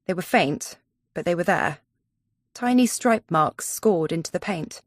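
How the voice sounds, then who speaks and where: Low-voiced woman narrating a suspense story. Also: expressive, a little slow, no echo, clean studio sound. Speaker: audiobook narrator. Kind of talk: scripted first-person thriller narration.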